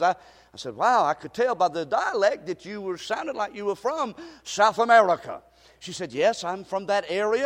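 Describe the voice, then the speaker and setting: A man preaching a sermon and telling a story, with stammering restarts, swinging from hushed to shouting. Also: high voice. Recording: reverberant hall.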